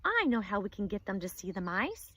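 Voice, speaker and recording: A woman's voice: mid-range voice, a little fast. A woman voicing an eager young boy in a read-aloud storybook. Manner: excited, rising questions.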